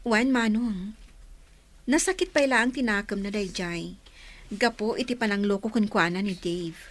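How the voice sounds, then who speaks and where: A woman's voice: mid-range voice, moderate pace, close to an everyday voice. A woman with an Ilocano accent acting a teasing younger sister in a radio drama. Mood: earnest, teasing. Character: younger sister confiding teasingly in her older brother.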